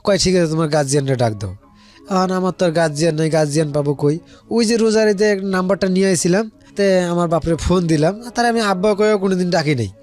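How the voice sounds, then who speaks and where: A man's voice: high-pitched, fast talker, darkish tone, faint music behind. A man with a Bangladeshi accent telling a personal story in casual, colloquial speech, rambling and repeating phrases as he quotes conversations. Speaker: guest telling their life story.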